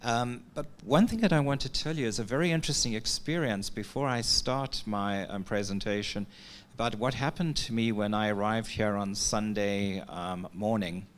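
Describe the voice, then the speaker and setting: A man from Africa, opening a workshop talk as a relaxed, conversational storyteller who uses filler words. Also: fairly low pitch, moderate pace, slight background noise. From South Africa. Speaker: workshop presenter. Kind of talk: conference talk opening with an anecdote. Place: workshop meeting room.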